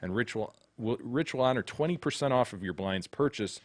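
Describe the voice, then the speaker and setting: A man's voice: medium pitch, expressive, brisk, no room sound. A male podcast co-host who teases and jokes drily, and also reads sponsor copy. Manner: dry joking, teasing. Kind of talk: casual chat and host-read ad.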